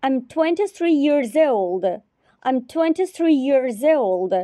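A woman teacher repeating short phrases slowly and carefully in a repetition drill. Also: high voice, animated, warm tone, no room sound, clean studio sound. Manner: repeats each phrase three times, carefully.

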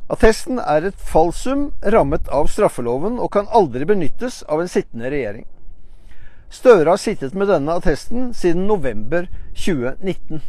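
Older guy w a talking on a lapel mic up on a mountain, earnest, lots of pointed questions, bit high voice, dry sound. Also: mellow, no room sound. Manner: earnest, questioning, with hesitant fillers. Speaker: channel host. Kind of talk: reading a letter, then commentary to camera. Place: outdoors on a rocky mountaintop.

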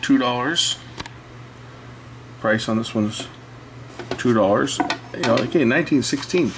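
A man's voice: medium pitch, a little slow, slight background noise. A man giving casual hands-on commentary as a host, rambling and thinking aloud as he goes. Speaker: host showing old records. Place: indoors at a cluttered electronics workbench.

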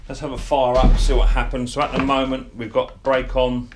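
A man's voice: medium pitch, completely flat delivery, moderate pace. A man, casually talking through a chainsaw brake repair in his workshop, chatty and a bit self-deprecating. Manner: chatty, self-deprecating, thinks aloud while working. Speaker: small engine repair video host. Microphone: head-mounted camera. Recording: clear background noise and tool clicks.

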